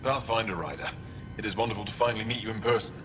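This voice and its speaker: A man's voice: fairly low pitch, rapid-fire, noisy background, natural voice. An actor playing a learned, courteous male alien chronicler in a video game, speaking formally and eloquently. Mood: courteous, grave.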